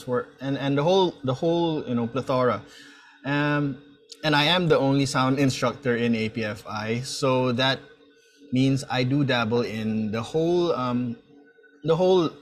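Guy in his 30s, mid-pitched voice, kinda hesitant, repeats words a lot, chatting like a teacher at an online open house. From Malaysia. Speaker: sound design instructor and mentor. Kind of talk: informal introductory talk at an online open house. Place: indoor room, on a video call.

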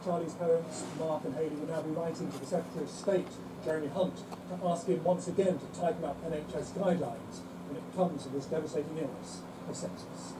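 A male correspondent delivers a scripted news report. His voice is fairly high for a man. The recording is distant and echoey, with loud noise over it. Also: fairly even intonation, brisk.

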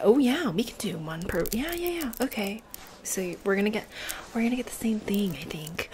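Young woman's half-whispered ASMR chat. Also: medium pitch. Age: young adult. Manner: soft, breathy, often slipping into whisper, mouth sounds. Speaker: ASMR role player. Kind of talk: ASMR restaurant role play, half whispered, casual chat. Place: bedroom, indoors. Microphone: close desktop condenser mic. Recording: close mic with noise, clicks.

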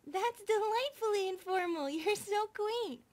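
A woman's voice: very high voice, expressive, unhurried. A woman voicing an affectionate, childlike eighteen-year-old centipede maid in an innocent, cheerful way for an ASMR roleplay. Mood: curious, sweet.

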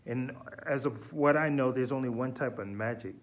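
A man around forty speaking in a casual, probing way, halting and deliberate, recorded on a phone indoors. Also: mid-range voice, muffled recording. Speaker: vlogger questioning a character on the phone. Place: small indoor room with sloped wooden ceiling. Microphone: phone at arm's length.

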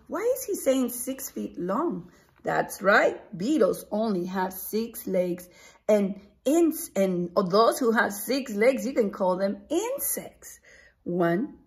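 A woman in her forties, a preschool teacher reading a picture book aloud, exclaiming and putting questions to young listeners in an animated, expressive way. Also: medium pitch. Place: small indoor room with posters.

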